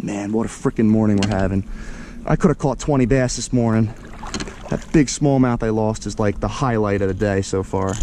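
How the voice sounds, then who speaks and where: An American man talks casually to his viewers from a kayak out on a lake, his voice warm and mellow. He is excitable and slangy, calling out his catches as they happen. The sound comes from an action camera on the boat, with faint outdoor noise behind him. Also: fairly low pitch. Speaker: fishing vlogger. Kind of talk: casual running commentary to camera. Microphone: action camera mounted on the kayak.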